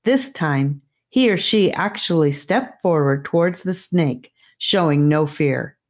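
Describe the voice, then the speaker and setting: Female audiobook narrator, low register, slow and measured reading of children's fantasy. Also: animated. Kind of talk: scripted fantasy story narration.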